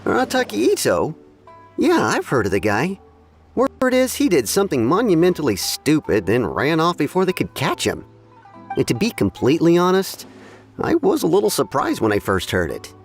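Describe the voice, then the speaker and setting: A man's voice: fairly high pitch, wildly swooping pitch, moderate pace, soft background music. A man playing an easygoing townsman in a video game, sharing gossip in a conversational, hedging manner. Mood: animated. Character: easygoing, watchful townsman passing on gossip, measured.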